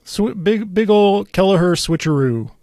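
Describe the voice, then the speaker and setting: A man's voice: high-pitched, expressive, unhurried, mellow, no echo. A man hosting a casual commentary podcast, talking in a dry, wry and blunt way. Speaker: podcast host. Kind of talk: casual conversational political commentary.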